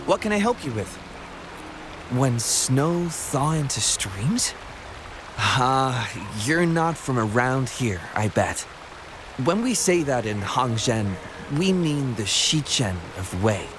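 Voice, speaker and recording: Slow-spoken helpful local townsman. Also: mid-range voice, very animated. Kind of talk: video game voice acting. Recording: clear rain and running water noise.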